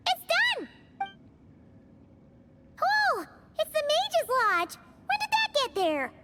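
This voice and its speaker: Excitable, very high-pitched little child. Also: female voice, slow pace, long reverb tail, background noise, heavily acted. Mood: delighted wonder. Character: excitable, eager young child with a high squeaky voice. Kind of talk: game cutscene voice acting.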